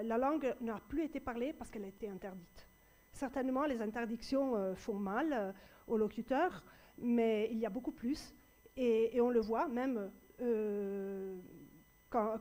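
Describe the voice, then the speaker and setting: A woman chairs a panel discussion before an audience in a public hall. Her voice sits a little high and sounds mellow and warm. She circles her thoughts with frequent hesitations and restarts. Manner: hesitant, many fillers and restarts. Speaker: debate moderator. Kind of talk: panel moderation.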